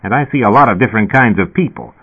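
A man's voice: lowish voice, lively intonation, quick pace, husky. A man voicing a blunt, crude, skeptical male police officer. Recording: tinny phone-like sound.

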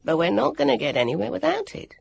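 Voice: medium pitch, wildly swooping pitch, fast talker, vocal fry, slightly rough, pitched above the performer's own voice, heavily acted. The narrator voicing a lordly, bossy young boy who brushes things off airily.

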